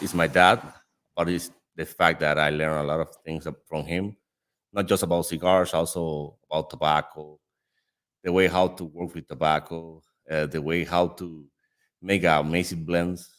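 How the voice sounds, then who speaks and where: Deep-voiced Dominican man hosting a chat. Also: fairly bright tone. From Dominican Republic. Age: 30s. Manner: laughs often, friendly and chatty. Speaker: host. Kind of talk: casual chat.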